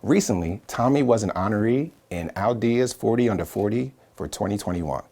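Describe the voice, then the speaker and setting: A man's voice: fairly low pitch, animated, a little fast, a little mellow. A man hosting an interview, reading a scripted guest introduction and then chatting casually with short affirmations. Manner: friendly, affirming, frequent "okay" and "awesome". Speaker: interview host.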